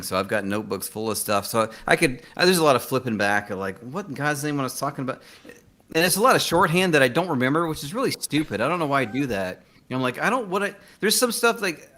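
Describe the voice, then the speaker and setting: A man's voice: mid-range voice, sharp tone. A man talking casually as a screenwriter guest in an interview, rambling and self-deprecating as he tells stories.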